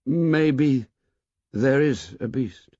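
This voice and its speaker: Man doing a shy young boy, raised pitch, slow, halting, audiobook, dry muffled sound. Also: a little mellow, dry recording. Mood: hesitant. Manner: hesitant, halting. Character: shy, timid young English schoolboy. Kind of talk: audiobook character voice. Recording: dull, dry recording.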